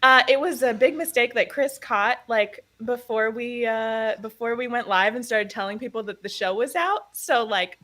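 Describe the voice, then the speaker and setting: A woman's voice: fairly high pitch, moderate pace, hardly any pauses. A woman who co-created an audio drama, chatting casually in an interview and telling stories with plenty of laughter. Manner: laughing, animated storyteller.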